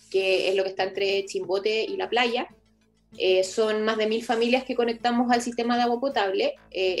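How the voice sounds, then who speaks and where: A woman with a Chilean accent speaking as a municipal official in an interview, explaining at length and often rephrasing. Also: mid-range voice. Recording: music playing behind the voice.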